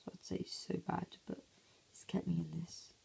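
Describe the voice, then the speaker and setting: A man with a hoarse, croaky voice, half-whispering casual commentary over a mobile game at home early in the morning, recorded on a phone. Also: high voice, flat delivery, normal speed, darkish tone. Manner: half-whispering to avoid waking sleepers. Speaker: gameplay commentator.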